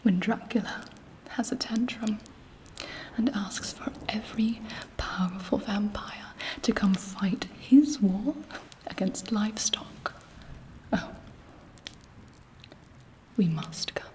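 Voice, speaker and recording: An imperious vampire queen, acted out by a woman for an ASMR roleplay. She whispers breathily and very slowly, with measured pauses. Her manner is haughty, seductive and contemptuous, that of a schemer used to command. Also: medium pitch, a little mellow, lightly acted, low-quality recording. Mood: haughty, bitter.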